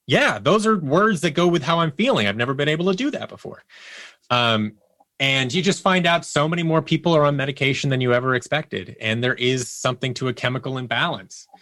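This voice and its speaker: A man's voice: medium pitch, animated, a little fast, a bit bright, no room sound, clean studio sound. A man speaking as an interview guest, telling personal stories candidly and reflectively, often voicing his inner thoughts as quotes. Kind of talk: candid personal storytelling in conversation.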